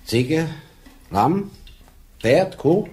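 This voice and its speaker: An actor playing a gruff, blunt older police sergeant with a dry wit. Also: male voice, fairly high pitch, animated, very slow, darkish tone. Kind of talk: 1980s radio drama acting.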